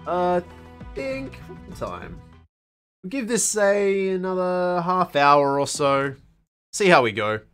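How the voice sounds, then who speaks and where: A man's voice: high-pitched, sing-song, slow, long pauses, fairly bright tone, clear, resonant tone, soft background music. A young Australian man casually commenting on a game he is streaming, with wry, self-mocking asides and a slightly strained voice. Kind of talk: casual let's play commentary.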